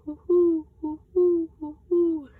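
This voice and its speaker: A woman voicing a father who calls out in long, high hoots like a great horned owl, in a story read aloud in a forest. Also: little pitch movement, takes it slowly, phone-quality sound. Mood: hooting. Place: outdoors in a forest.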